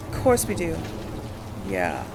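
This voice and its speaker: A man's voice: a little high, wildly swooping pitch, takes it slowly, vocal fry, noisy background. A man giving casual gameplay commentary, thinking aloud as he hosts a let's play. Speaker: gamer, let's play host.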